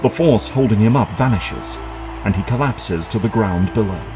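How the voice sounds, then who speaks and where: Low-voiced male drama narrator over music. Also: expressive, normal speed.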